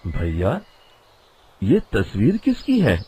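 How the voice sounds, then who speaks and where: A man voicing a simple, trusting elder brother in a cartoon village story, with a husky voice. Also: fairly low pitch, wildly swooping pitch, takes it slowly, a little mellow, heavily acted. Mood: surprised, curious. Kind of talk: Hindi moral-story cartoon acting.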